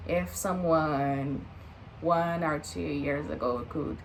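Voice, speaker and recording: A woman's voice: low-pitched, slow, a little hiss or hum, low-quality recording. A woman in her late twenties with a Brazilian Portuguese accent, chatting unscripted to the camera in a hesitant way, with many fillers. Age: late 20s. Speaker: vlogger. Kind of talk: unscripted casual chat to camera.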